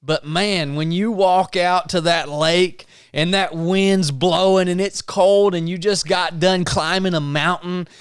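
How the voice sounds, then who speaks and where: A man hosts a podcast in an earnest, folksy way. His voice sits fairly high and comes across smooth and resonant. The sound is close and dry, on a podcast microphone. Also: steady tone, a little slow, hardly any pauses, no room sound. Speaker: podcast host. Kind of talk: casual interview chat.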